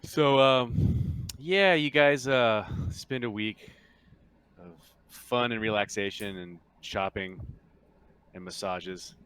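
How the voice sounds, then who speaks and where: A man with a fairly low voice acts as game master at a tabletop session. He explains the setting casually and conversationally, feeling his way through sentences in a halting, informal manner. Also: a bit bright, smooth, no echo.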